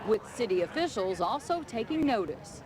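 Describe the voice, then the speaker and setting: Woman, news reporter, scripted narration, highly animated intonation, clear traffic noise in background. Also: moderate pace.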